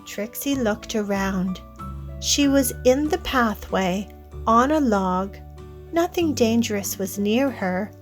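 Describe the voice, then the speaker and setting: A woman narrating a children's storybook read-aloud in a deliberate way, addressing young listeners directly. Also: on the high side, expressive, faint music behind.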